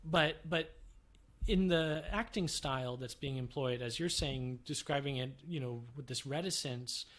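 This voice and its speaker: Man, mid-pitched voice, podcast panelist, reflective, agreeable, casual. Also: a little slow, a bit bright. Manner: reflective, agreeable, frequent backchannels. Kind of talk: casual film discussion.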